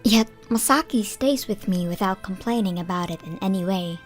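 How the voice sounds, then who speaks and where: A woman acting as a gentle young wife, narrating her life in a scripted manga dub. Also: mid-range voice, normal speed, natural voice. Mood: gentle, grateful. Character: gentle grateful young married woman with allergies. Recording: background music under the voice.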